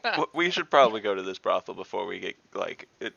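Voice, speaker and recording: A man's voice: deep voice, very animated, quick pace, gravelly, sharp tone, no room sound, dull audio. A man playing an online tabletop game, trading loose, bawdy, joking banter with friends. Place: online group voice call.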